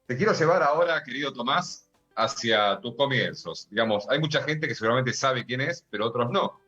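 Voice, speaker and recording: A man's voice: mid-range voice, lively intonation, fairly quick, sharp tone, slightly rough. A man with an Argentine accent hosting a radio interview, with brief affirming interjections. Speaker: radio host. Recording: dull lo-fi sound with faint music.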